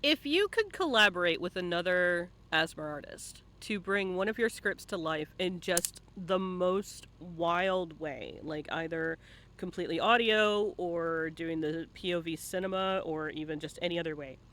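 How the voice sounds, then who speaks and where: High-pitched man hosting a casual interview. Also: unhurried, sharp tone. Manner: informal, joking, swears casually. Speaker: interviewer and host. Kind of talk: casual live-stream interview. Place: live online voice stream.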